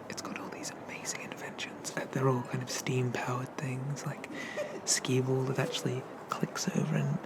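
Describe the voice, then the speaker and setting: A performer acts out a kindly, bashful male monster who works as a coffee shop barista in an ASMR roleplay. The voice is mid-pitched and often drops into a whisper. The delivery is slow, soft and hesitant, with reassuring, chatty small talk. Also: close to an everyday voice, low-quality recording. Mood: friendly, chatty.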